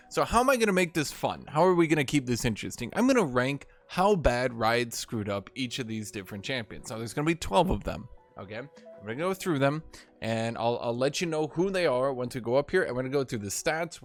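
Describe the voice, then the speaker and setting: A man with a slightly hoarse voice hosting a gaming video, ranting with sarcastic, salty commentary. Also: medium pitch.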